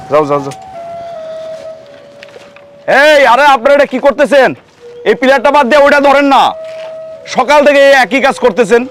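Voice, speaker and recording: A caring but businesslike Bangladeshi boss in a TV drama. His slightly high voice moves quickly, giving instructions and repeating himself, with clear music in the background. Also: fairly bright tone. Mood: exasperated. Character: brisk but caring male boss of migrant workers. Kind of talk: TV drama acting.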